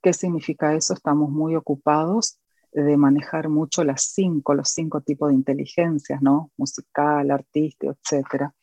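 A woman with an Argentine accent talks earnestly about her path, pausing often to gather her thoughts. Her voice is mellow and warm. The sound is dry and close, with no room around it. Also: sits low, steady tone, no room sound. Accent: Argentine Spanish. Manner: earnest, reflective, with many pauses and fillers. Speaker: guest, educator and author. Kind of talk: interview.

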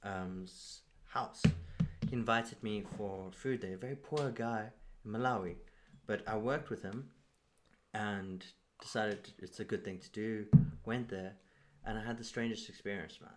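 Man, low-pitched voice, podcast host, informal, musing, casual conversation. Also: measured pace, a little hiss or hum.

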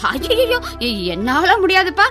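Meek young wife, very high, swooping voice, flustered and defensive, radio drama. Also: female voice, sing-song, measured pace, over music, exaggerated voice.

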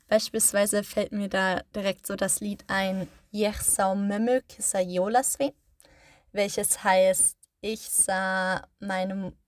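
A podcast host woman talking slowly in a dry, close recording, her voice sitting at an ordinary middle pitch. She explains things patiently and casually, rephrasing herself often with little fillers. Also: no room sound.

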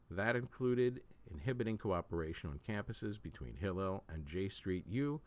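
A man reading a written news article aloud as the host of a news program. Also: deep voice.

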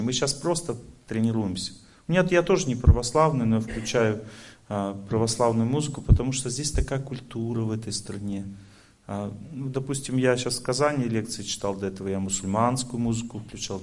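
Man giving an instructive spiritual lecture. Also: medium pitch. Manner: didactic, explaining step by step with rhetorical check-ins. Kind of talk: motivational spiritual lecture to an audience.

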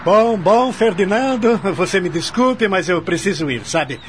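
A man's voice: high voice, expressive, a little slow, a little hiss or hum. An actor playing an arrogant businessman in a Brazilian radio soap, speaking with a Brazilian accent and many tag questions. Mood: hurried, aloof. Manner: haughty, hedging with tag questions and filler words. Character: arrogant, haughty businessman worn out by failed deals. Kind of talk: radio soap opera acting.

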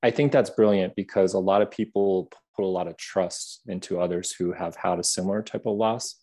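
A man with a soothing, gentle voice, talking thoughtfully as a guest in a podcast interview. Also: low-pitched, fairly even intonation, fairly quick. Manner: gentle, soft-spoken, thoughtful. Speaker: app creator, podcast guest. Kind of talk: reflective interview conversation.

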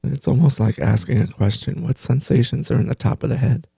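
A man leading a guided meditation in a gentle, unhurried way, pausing between short phrases. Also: medium pitch, vocal fry, breathy edge, dry recording, clean studio sound. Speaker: meditation teacher and host.

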